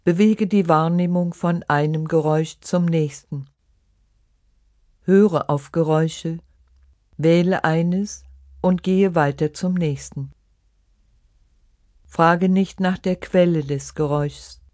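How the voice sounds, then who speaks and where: A meditation guide reading a scripted relaxation in short, measured, instructive phrases. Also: medium pitch, steady tone, a little mellow, dry recording, studio quality. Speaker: yoga nidra meditation guide.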